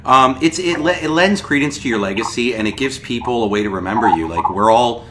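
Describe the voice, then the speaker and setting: A man's voice: medium pitch, fairly quick, heavy background noise. A man hosting a podcast, talking in an enthusiastic, rambling way full of fillers. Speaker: podcast host. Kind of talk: podcast interview.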